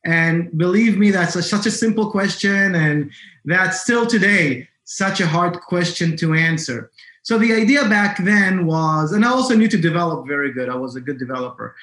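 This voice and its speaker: A man's voice: high voice, lively intonation, normal speed, a bit bright. A middle-aged man with an Israeli accent, talking conversationally as an interview guest. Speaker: guest, cybersecurity entrepreneur. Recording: roomy, echoing sound.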